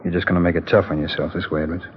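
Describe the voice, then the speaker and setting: An actor playing a confident drug dealer in a 1950s radio drama, pressing and smooth. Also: male voice, deep voice, level tone, very fast. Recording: dull lo-fi old radio broadcast.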